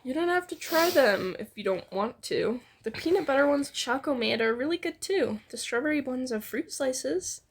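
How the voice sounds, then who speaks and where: A woman voicing a bashful, gentle young man, hesitant and earnest, in a fan fiction reading. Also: sits high, expressive, moderate pace, few pauses, husky, exaggerated voice. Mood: bashful, defensive. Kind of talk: fan fiction read aloud.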